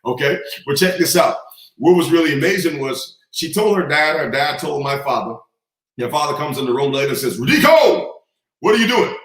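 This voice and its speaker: A man's voice: fairly high pitch, a little fast, a bit bright. Confident man from the Bahamas, echoey. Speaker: guest. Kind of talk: storytelling in a conversation.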